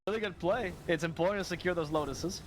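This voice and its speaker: A man co-casting a live esports match, laughing often and breaking into excited outbursts. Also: high voice, fast talker, noisy background. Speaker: esports co-caster. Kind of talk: live game commentary.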